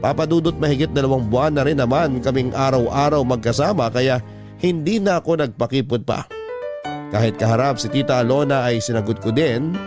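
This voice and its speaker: A man narrates a scripted story in the first person, as on a radio drama show. His pitch is middling for a man. Music plays clearly behind him. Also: a little fast. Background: background music.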